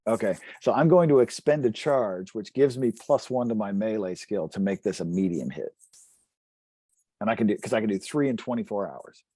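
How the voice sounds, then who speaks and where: A man's voice: fairly low pitch, animated, moderate pace, dark tone, husky, no echo. A man who is a player in a tabletop role-playing game, chatting casually at the table as he works through his turn.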